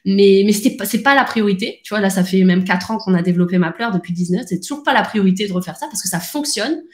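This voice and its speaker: A woman in a relaxed interview, talking in quick bursts that she keeps restarting. Her voice sits in an ordinary middle range. The sound carries the echo of a bare room. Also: medium pitch. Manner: fast and animated, many restarts and fillers. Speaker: podcast guest, founder. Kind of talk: casual conversational interview.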